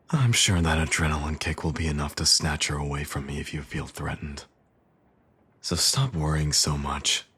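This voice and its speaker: A man's voice: a bass voice, fairly bright tone, slight background noise, exaggerated voice. A man playing a smug, teasing supervillain and doting father in an intimate ASMR audio roleplay, coaxing and sardonic. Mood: earnest, pleading.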